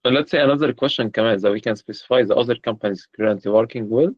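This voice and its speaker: A man's voice: medium pitch, rapid-fire, no room sound. A man hosting a casual online chat, hesitant, with many filler sounds. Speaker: host, community organizer. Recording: dull lo-fi call audio.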